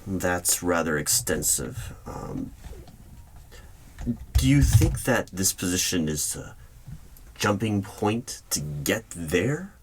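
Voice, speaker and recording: A man playing a polite, guarded hiring manager in an ASMR interview roleplay, speaking aloud in a measured, formal, deliberate way with pauses. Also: sits low. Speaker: roleplay performer as job interviewer. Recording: steady noise with keyboard typing clicks. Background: computer keyboard typing and clicks.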